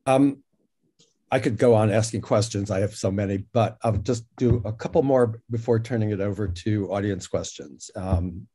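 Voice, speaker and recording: Mellow, fairly low-voiced male moderator. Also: normal speed, breathy edge. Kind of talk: unscripted interview questioning.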